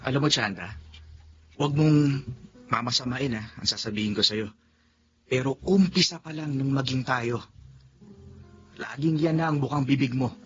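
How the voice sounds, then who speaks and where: A man's voice: mid-range voice, fairly bright tone, close to an everyday voice. An actor playing a sincere young man who speaks respectfully to his father in a radio drama. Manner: polite, sincere. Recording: muffled radio-play recording with faint noise.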